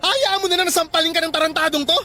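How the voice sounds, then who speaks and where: A man's voice: very high-pitched, steady tone, racing, bright, forceful tone, soft background music, exaggerated voice. A man with a Filipino accent playing a protective, frank young office worker in a radio drama, informal and insistent. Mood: angry, protective. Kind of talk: Tagalog radio drama acting.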